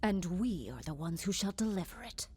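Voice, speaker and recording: A woman's voice: mid-range voice, expressive, moderate pace, noisy background, close to an everyday voice. A woman voicing a cold, menacing female alien warlord who speaks in grim, imperious threats in a game cutscene. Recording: game cutscene with loud score.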